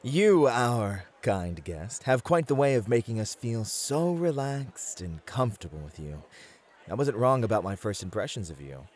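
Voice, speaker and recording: A man playing a charming, flirty club host and entertainer in an ASMR roleplay, with a florid, theatrical, flattering manner. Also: medium pitch, normal speed, close to an everyday voice. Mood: warm, fond. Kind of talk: scripted ASMR roleplay, acted. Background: background music.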